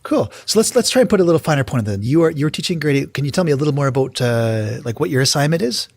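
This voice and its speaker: Woman podcast host, very deep husky voice, lively pitch, relaxed questioning. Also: rapid-fire, dry recording. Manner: friendly, relaxed, asks follow-up questions with restarts. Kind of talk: casual interview hosting.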